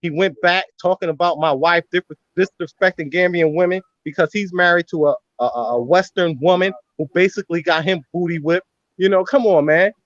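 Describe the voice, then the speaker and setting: A man's voice: sits high, fairly quick, no room sound, dull audio. A man arguing defensively and rambling, with lots of "you know what I'm saying", on a call. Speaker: guest in a live stream. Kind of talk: heated, defensive argument.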